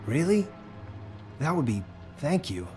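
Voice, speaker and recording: Nervous, lively, eager-to-please underling. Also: male voice, a little high, a little slow, soft background music. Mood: anxious, hopeful. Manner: hesitant, stammering, deferential. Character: timid, eager-to-please, nervous adult male employee. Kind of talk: noir video game acting.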